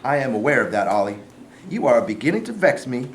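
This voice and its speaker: A man in a staged play reading, playing a gruff, scheming Southern man of authority with a folksy, commanding manner. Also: medium pitch, big pitch swings, a little fast, natural voice. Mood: irritated, threatening. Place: live staged reading in a room. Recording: roomy, distant mic, faint noise.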